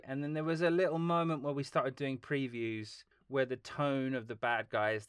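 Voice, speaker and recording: A man talking as a film composer guest in a casual interview, telling long, lively stories and laughing along the way. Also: medium pitch, no room sound, clean studio sound. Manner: animated, rambling storytelling with laughs.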